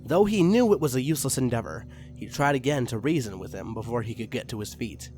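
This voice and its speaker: A man's voice: medium pitch, expressive, quick pace, a little mellow, soft background music. A man reading fan fiction aloud as a dramatic story narrator.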